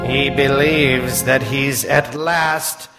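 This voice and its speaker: A man's voice: mid-range voice, fairly even intonation, extremely slow. A man acting an eerie, courteous host of strange tales in old-time radio style, theatrical and coaxing. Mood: grave storytelling. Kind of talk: 1940s radio drama acting. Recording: echoey stage hall, music behind.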